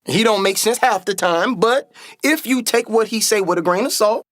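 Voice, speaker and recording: An actor playing a bold, protective young stunt pilot and older brother in a radio play, speaking in a folksy Southern-style vernacular. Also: male voice, sits high, normal speed, fairly bright tone, no room sound, clean studio sound. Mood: earnest.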